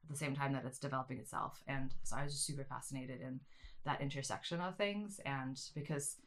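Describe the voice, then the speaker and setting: A woman's voice: very deep voice, a little fast, a bit bright. A woman in her thirties from South Africa, a media studies teacher answering interview questions at length with many restarts and fillers. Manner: rambling, many restarts and ums.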